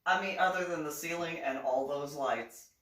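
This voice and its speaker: A woman's voice: sits low, moderate pace, distant mic. A woman veteran telling a personal story in a casual monologue, chuckling often and acting out the other people in it. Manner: animated, chuckles often, acts out other voices. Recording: echoey room, voice off-mic.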